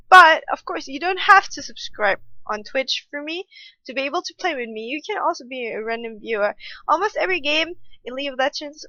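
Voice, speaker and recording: A woman's voice: high-pitched, sharp tone, no room sound, muffled recording. A woman in her twenties from Austria, a German native speaker with an Austrian accent, chatting casually and self-mockingly to camera as a streamer. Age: 20s. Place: home room at night.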